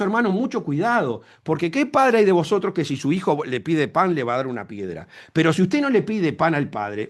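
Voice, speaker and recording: A man preaching a sermon as pastor, emphatic, repeating phrases and asking rhetorical questions. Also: high-pitched.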